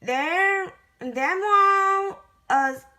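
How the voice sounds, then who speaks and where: A woman with a high, bright voice reads a children's storybook aloud. She goes very slowly and haltingly, often stumbling over the words as an amateur reader does. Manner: halting, stumbling word-by-word reading. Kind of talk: children's picture book read-aloud.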